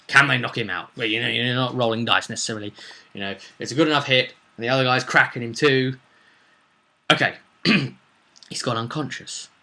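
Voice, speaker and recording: A man recounting a tabletop game session in an animated, emphatic way, throwing in rhetorical questions like a game master telling a tale. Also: medium pitch, moderate pace. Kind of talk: animated vlog storytelling.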